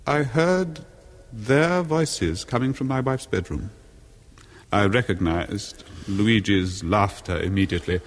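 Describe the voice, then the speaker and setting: An actor in a 1970s horror radio drama playing a jealous husband, haunted and brooding, telling his murderous story in a halting, theatrical way. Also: lowish voice. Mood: uneasy, halting. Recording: long cavernous reverb on voice.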